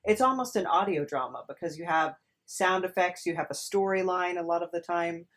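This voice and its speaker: A woman's voice: on the low side, a little fast, a bit bright. A woman interviewing casually as a podcast host, in a hesitant, encouraging manner.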